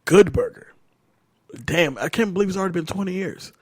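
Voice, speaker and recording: A man's voice: sits high, a little fast, breathy edge, no room sound. A man in his late twenties hosting a casual movie chat show, loose and joking, swearing freely. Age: late 20s. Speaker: podcast host.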